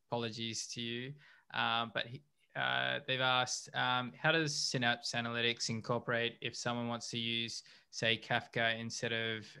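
A man's voice: mid-range voice, sharp tone, clean tone. A man moderating questions at an online meetup, casual and conversational over a video call. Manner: casual, friendly asides. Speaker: meetup host.

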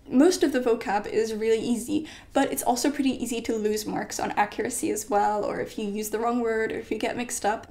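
A young woman in her late teens, a native Polish speaker, talks through exam tips in a relaxed vlog style. Her voice sits a little high, and her speech is peppered with "like" and "you know". She is filmed in her bedroom by a camera set up in front of her. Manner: chatty, lots of "like" and "you know". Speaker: study vlogger. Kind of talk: casual advice vlog. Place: bedroom at home.

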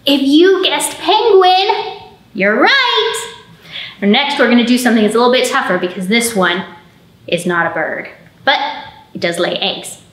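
A woman with a fairly high voice leads storytime for small children. She takes her time, stretching key words in an animated, encouraging way. The room adds a clear echo around her. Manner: animated, encouraging, drawn-out emphasis for young children. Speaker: children's librarian, storytime host. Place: indoor library storytime room. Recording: roomy indoor echo.

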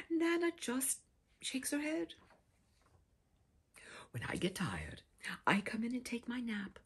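A woman in her sixties reading a children's picture book aloud theatrically, dramatic and expressive, dropping into breathy whispers. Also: sits high, takes it slowly, pauses often. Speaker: storyteller, host. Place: small furnished room indoors with bookshelves.

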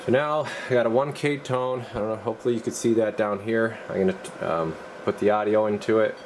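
Deep-voiced man demoing radios in a workshop. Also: noisy background. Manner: relaxed, halting, thinks aloud while working. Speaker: radio repair technician. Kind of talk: casual hands-on demo.

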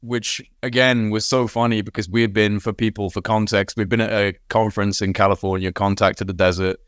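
A man in his thirties hosting a podcast interview over a video call, relaxed and conversational as he leads the chat. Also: fairly low pitch, fairly quick, clear, resonant tone, no echo, clean studio sound. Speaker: podcast host. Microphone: video call webcam.

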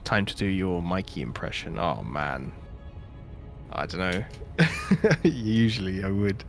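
A tired man with a croaky voice, slowly reading a short story aloud on a stream. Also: deep voice, animated, faint music behind. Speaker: stream co-host.

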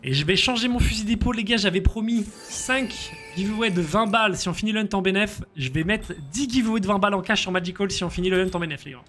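A man, live-streaming slot games and chatting excitedly with viewers over a headset in a small room. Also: sits high, fast talker, few pauses, faint music behind. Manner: excitable, slangy, chats with viewers. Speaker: casino streamer.

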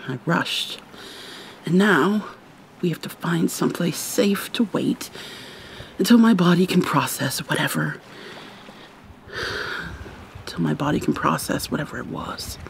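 A woman's voice: low-pitched, measured pace, creaky, long pauses, a little hiss or hum. A woman playing an irritable, haughty but secretly caring vampire mermaid in an ASMR roleplay, sardonic and formal with exasperated sighs.

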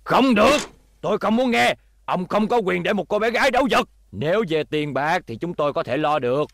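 A man's voice: high-pitched, expressive, a little fast, vocal fry, a bit bright, exaggerated voice. A man voicing a stern, protective older teacher in a dubbed cartoon, emphatic and scolding. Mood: indignant.

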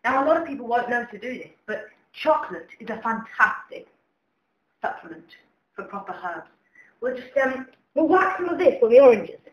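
A woman's voice: on the high side, big pitch swings, normal speed. A young woman giving a mock cookery demonstration as presenter. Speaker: cooking show presenter. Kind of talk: mock cooking show demonstration. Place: home kitchen. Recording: echoey kitchen, faint background noise.

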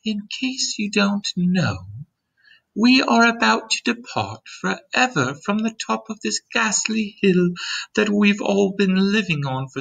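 Man putting on a high-pitched, kindly lady ladybug. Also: vocal fry, fairly bright tone, heavily acted. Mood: excited, reassuring. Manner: grand, reassuring, wordy storybook speech. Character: kind gentle motherly ladybug, excited and talkative. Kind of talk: storybook read-aloud character voice.

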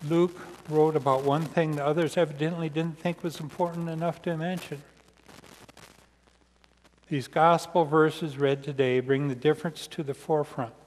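Older American pastor in an echoing hall. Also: male voice, fairly high pitch, level tone, normal speed, a little mellow. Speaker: pastor preaching. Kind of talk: sermon read from notes. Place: large church sanctuary, indoors, seated at the front. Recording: reverberant church hall sound.

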